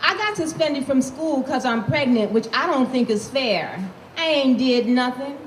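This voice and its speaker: A blunt, defiant teenage girl from Harlem with a streetwise, profane way of talking, as a woman reads her aloud from a novel. Her voice sits fairly high. It rings in an echoey room with a faint hiss behind it. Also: animated, measured pace. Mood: matter-of-fact. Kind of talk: reading a novel aloud in character.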